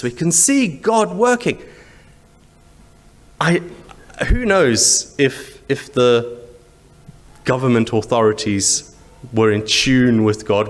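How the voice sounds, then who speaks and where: A man with a Zimbabwean accent preaching a sermon in a conversational, explanatory way, with rhetorical questions and repeated phrases. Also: mid-range voice. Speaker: church preacher.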